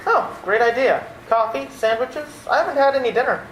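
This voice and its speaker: A woman's voice: on the high side, fairly quick, close to an everyday voice. A woman playing an exasperated, sardonic young man in an old-time radio play. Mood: flustered, frantic. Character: exasperated, sardonic young male theatre critic. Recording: echoey room, faint noise.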